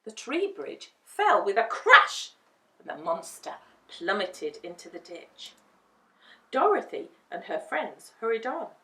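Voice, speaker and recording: A woman reading a children's storybook aloud as an expressive storyteller. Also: medium pitch, slow pace. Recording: roomy, distant microphone.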